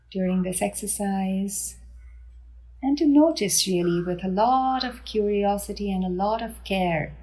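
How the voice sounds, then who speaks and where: A woman leading a guided meditation in a gentle, unhurried manner with deliberate pauses between phrases. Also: medium pitch, low-quality recording. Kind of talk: guided body scan meditation.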